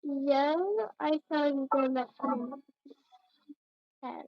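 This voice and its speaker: A young woman with a very high voice comments on her game in a casual, reactive way. She talks unhurriedly and rambles, on a close, dry mic. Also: level tone, takes it slowly, dry recording, highs cut off. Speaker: game streamer.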